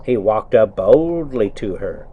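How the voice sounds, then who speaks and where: A man's voice: on the low side, expressive, takes it slowly, husky, narrow, tinny audio. A man reading a story aloud in a folksy, theatrical way, with casual colloquial asides, while driving a motorhome. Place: inside a motorhome being driven.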